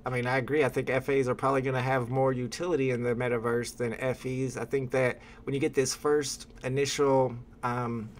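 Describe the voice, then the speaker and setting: A man's voice: medium pitch, little pitch movement, a little fast, hardly any pauses, slight background noise. A young man hosting a casual livestream from a room at home, chatting with a guest and reading viewer comments aloud. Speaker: livestream host.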